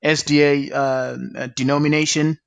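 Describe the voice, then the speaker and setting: A man hosting an online panel discussion, introducing a guest in a halting way with many fillers and repeated words. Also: a little high, level tone, vocal fry, smooth, no room sound. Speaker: talk show host.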